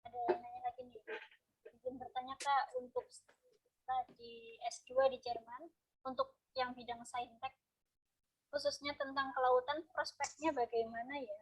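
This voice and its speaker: High, breathy woman host. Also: fairly even intonation, low-quality recording. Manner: brisk and friendly, addressing the guest as Mas or Kak. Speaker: talk show host reading viewer questions. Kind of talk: reading out questions in a talk show.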